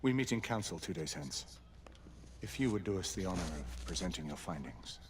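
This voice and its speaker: An actor playing a grave, resolute British knight in a game cutscene, terse, formal and authoritative. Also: male voice, low voice, sing-song, moderate pace, a little hiss or hum. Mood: firm, formal. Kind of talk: dramatic game cutscene acting.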